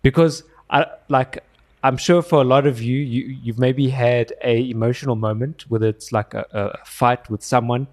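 A young man co-hosting a casual film chat. His voice sits in the middle range. He talks in a halting way, restarting as he hunts for the right words. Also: mid-range voice, steady tone, darkish tone. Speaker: film podcast co-host.

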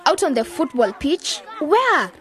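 High-voiced, bossy young woman. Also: very animated. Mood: impatient. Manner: brisk, scolding, no-nonsense. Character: bossy, focused young woman, aspiring radio journalist. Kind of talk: radio drama acting.

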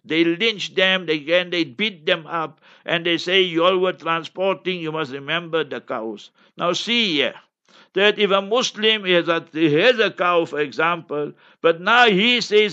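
Male cleric, scornful and blunt commentator, sharp forceful voice pitched a little high, slightly muffled lo-fi recording. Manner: acts out quotes and rhetorical questions. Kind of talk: fiery political commentary with religious quotations. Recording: dull lo-fi audio.

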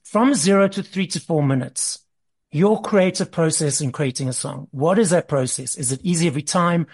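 A man hosting an interview, first reading a formal introduction and then asking questions in a steady, measured way. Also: a little high. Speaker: interview host.

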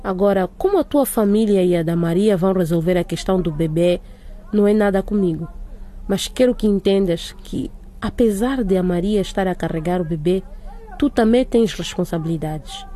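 A woman playing a firm, formal female school director in a radio drama, lecturing in measured, authoritative sentences. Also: fairly low pitch, dark tone, natural voice. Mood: stern.

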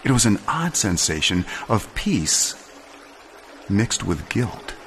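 A man giving a dramatic scripted story reading, measured and solemn, with deliberate pauses and expressive phrasing. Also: on the low side, noisy background. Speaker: storyteller, narrator.

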